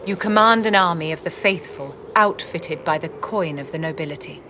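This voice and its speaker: Casting: haughty game aristocrat, low register, poised and condescending, over clearly noisy ambience. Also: female voice, normal speed, pushed and bright. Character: imperious, elegant court lady, poised and calculating. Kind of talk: dramatic video game acting.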